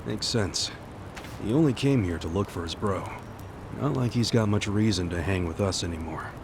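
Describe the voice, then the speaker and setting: A man's voice: sits low, moderate pace, noisy background. A man acting a blunt, slangy, earnest ex-yakuza in a video game cutscene. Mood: easygoing, sincere. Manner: blunt, slangy, street-tough. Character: rough, earnest ex-yakuza man, hot-headed interrogator. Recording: music behind the voice.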